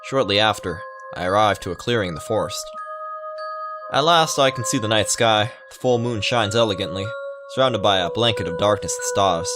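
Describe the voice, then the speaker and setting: A man with a fairly low voice hosts a game playthrough. He reads the story aloud and breaks into casual, rambling, halting commentary, with music clearly playing underneath. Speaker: gaming video host. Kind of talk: visual novel read-aloud with casual commentary.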